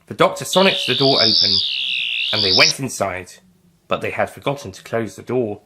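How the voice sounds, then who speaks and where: A man's voice: on the low side, expressive, normal speed, background noise. A man reading scripted audiobook narration as the narrator of a story.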